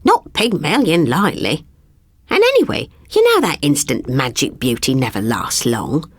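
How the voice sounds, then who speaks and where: A woman voicing a sharp, bossy, exasperated storybook queen who fusses and talks tartly and colloquially. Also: low voice, very animated, measured pace, no room sound, studio quality, heavily acted. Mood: indignant screech. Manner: tart, colloquial, fussing.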